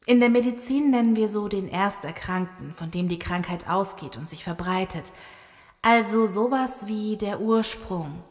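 A woman in a German audio drama playing a calm, analytical medical expert, explaining in a matter-of-fact way. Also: medium pitch, normal speed, lightly acted. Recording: long hall reverb.

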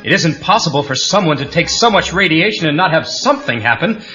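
A man's voice: a little high, very animated, a little fast, bright, forceful tone. A male actor playing a troubled scientist, emphatic and melodramatic, on a children's read-along record. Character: troubled adult male scientist, tense and dramatic. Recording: muffled cassette audio, faint music.

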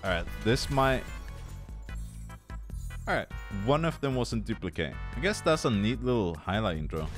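A man's voice: fairly low pitch, sing-song, normal speed, pauses often, soft background music. A young man with a Swedish accent giving goofy, casual commentary while opening loot boxes, tired and swearing freely. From Sweden. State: tired from no sleep. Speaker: gaming YouTuber.

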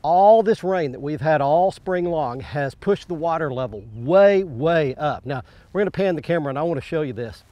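A middle-aged man hosts a fishing show from his boat out on the water. He talks in a folksy, enthusiastic way, his voice sitting a bit high. The sound is close and dry. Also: expressive, normal speed, hardly any pauses, mellow, no room sound. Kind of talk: outdoor show presenting. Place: on a bass boat on a lake, outdoors.

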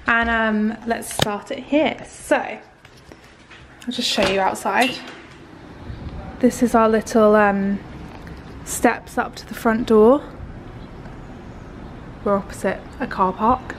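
A woman's voice: fairly high pitch, slow pace, long pauses, noisy background. Young woman vlogger, chatty and upbeat, camera held at arm's length indoors. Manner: chatty, upbeat, rambling with fillers. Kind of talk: casual vlog house tour. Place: rented house, indoors. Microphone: handheld camera at arm's length.

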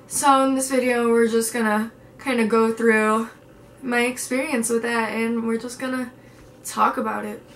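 Young woman vlogger, slightly high and raspy, tired, chatty with fillers, faint hiss. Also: fairly even intonation, moderate pace. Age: young adult. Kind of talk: casual vlog chat. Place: bedroom and parked car. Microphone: camera at arm's length.

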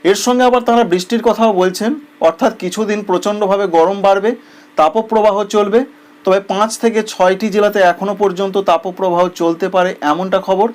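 A man's voice: high voice, fairly even intonation, a little fast. A man presents a weather bulletin in an explanatory, emphatic way, repeating phrases for clarity. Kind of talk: semi-scripted weather bulletin narration.

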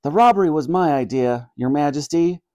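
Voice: mid-range voice, animated, brisk, warm tone, dry recording, clean studio sound, lightly acted. A reader voicing an earnest young grandson, a boy in a children's story. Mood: remorseful.

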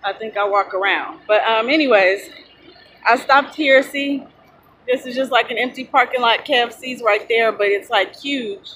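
A woman's voice: a little high, moderate pace, fairly bright tone, a little hiss or hum, poor audio. A woman in her thirties, a truck driver, chatting casually and rambling to her phone camera outdoors in a parking lot. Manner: rambling, chatty, loses her train of thought. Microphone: phone at arm's length.

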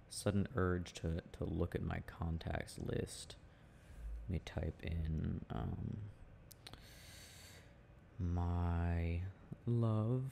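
A relaxed, teasing boyfriend played by a man in an intimate audio roleplay. His voice sits low with a gravelly fry, and he muses haltingly and casually. Some ambient noise sits behind him. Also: exaggerated voice. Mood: playful teasing. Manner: halting, musing, chuckling delivery. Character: teasing, easygoing boyfriend chatting with his partner.

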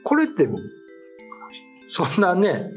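A middle-aged man tells a tale in casual chat, his voice a bit high and moving widely in pitch. He often relays what others said, and faint music plays behind him. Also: huge pitch swings, slow. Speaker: writer and show regular telling ghost stories. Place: small meeting room, indoors.

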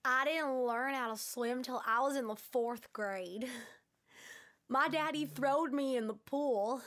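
Woman as a slow-talking Southern country girl. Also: high-pitched, fairly bright tone. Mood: amused, folksy. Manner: folksy, storytelling. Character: folksy, good-humored Southern country girl.

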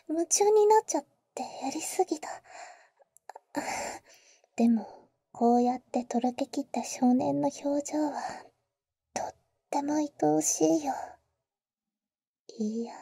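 A woman's voice: high voice, no room sound, heavily acted. A woman acting a whispering, doting vampire big sister. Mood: tender. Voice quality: soft, breathy, mostly whispered. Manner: slow, tender, teasing, boyish casual phrasing. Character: doting, teasing older-sister female vampire. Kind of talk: intimate Japanese roleplay ASMR. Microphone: close, dry ASMR microphone.

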